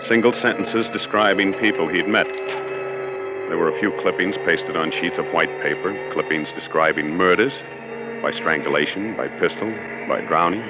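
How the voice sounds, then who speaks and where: An actor playing an uneasy, suspicious hunter, brooding and deliberate, in a 1940s radio suspense drama. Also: male voice, low-pitched, close to an everyday voice. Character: uneasy, suspicious hunter narrating his own peril. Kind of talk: 1940s radio acting, first-person narration. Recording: dull old 1940s radio recording.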